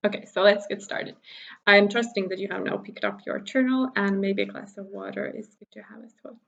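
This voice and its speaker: A woman from Finland talks to her viewers in English, chatty and warm-hearted, stopping for fillers and laughs. Her mid-pitched voice has a gravelly edge of vocal fry. The recording is muffled, like a simple home setup on a camera or phone mic. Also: fairly even intonation, a little fast. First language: Finnish. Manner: chatty, laughs often, many fillers and restarts. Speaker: spiritual workshop teacher. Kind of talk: casual recorded workshop talk.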